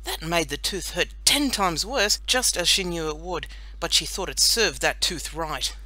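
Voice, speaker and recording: Bright, lively woman reading a children's story. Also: lowish voice, dry recording, low-quality recording. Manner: expressive, deliberate storytelling. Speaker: audiobook reader. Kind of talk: scripted children's story narration.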